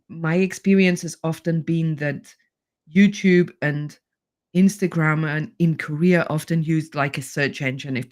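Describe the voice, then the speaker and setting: A woman hosting an interview podcast, thinking aloud and building her questions step by step in a conversational way. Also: sits low.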